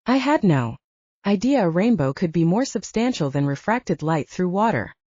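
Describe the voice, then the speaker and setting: A woman's voice: lowish voice, very animated, normal speed, dark tone, no echo. A woman voicing a playful, teasing female character in an audiobook romance. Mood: wonder. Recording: dull lo-fi audiobook recording.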